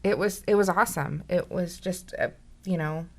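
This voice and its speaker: A woman's voice: lowish voice, moderate pace. A woman in her forties co-hosting a casual chat about motorcycles, joking and poking fun at herself. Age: 40s. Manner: self-mocking, joking, rambling. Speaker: co-host.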